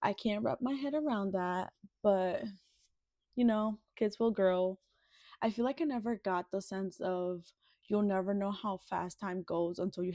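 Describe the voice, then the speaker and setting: A woman's voice: mid-range voice, mellow, no echo, clean studio sound. A woman in her twenties from the Dominican Republic, chatting casually and ramblingly as a vlogger getting ready. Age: 20s. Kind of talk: casual get-ready-with-me chat.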